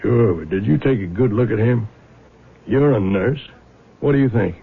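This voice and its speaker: An actor playing a plain-spoken older small-town father in a radio drama, blunt and folksy, with a gravelly, husky voice. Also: fairly low pitch, expressive, normal speed, full character voice. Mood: wry, doubtful. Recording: muffled old radio drama recording.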